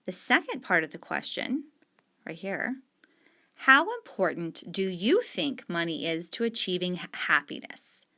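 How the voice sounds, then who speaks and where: A woman's voice: fairly low pitch, lively intonation, measured pace, sharp tone. A middle-aged woman teacher patiently explaining discussion questions to students, stressing key words while she marks up the page with a pen. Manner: annotating on screen with a pen while talking.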